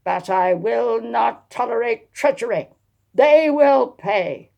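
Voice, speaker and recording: A woman's voice: a little high, expressive, slow pace, vocal fry, highs cut off, voice pushed up, heavily acted. A woman voicing an imperious, scornful elderly aristocratic matriarch who barks in a domineering, contemptuous way, in a dramatised historical novel. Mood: angry, menacing.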